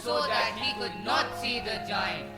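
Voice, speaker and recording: A man's voice: high-pitched, lively intonation, measured pace. A male narrator telling a storybook tale in a theatrical style. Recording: roomy stage sound under loud music.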